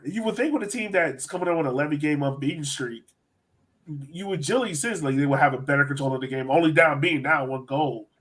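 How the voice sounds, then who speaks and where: A man with a slightly high-pitched voice hosts a sports podcast. He talks through soccer games casually, giving his opinions in a conversational way. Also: fairly bright tone. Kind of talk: casual soccer match analysis.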